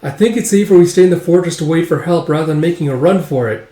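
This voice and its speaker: An earnest young woman soldier, voiced by a man reading a comic speaks protectively and reassuringly. The pitch is raised, and the room echoes. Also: fast talker, dark tone, close to an everyday voice. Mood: earnest reassurance. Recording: roomy, echoing sound.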